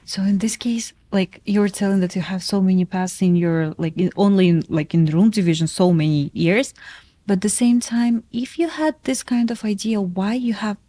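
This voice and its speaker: A woman's voice: medium pitch, normal speed, dark tone. Chatty woman podcast host. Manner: casual, loose phrasing with many fillers. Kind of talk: podcast interview.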